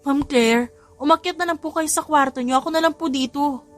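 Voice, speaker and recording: A woman's voice: sits high, brisk, soft background music, pitched above the performer's own voice, natural voice. A woman voicing a worried older housemaid in an audio story, pleading and respectful.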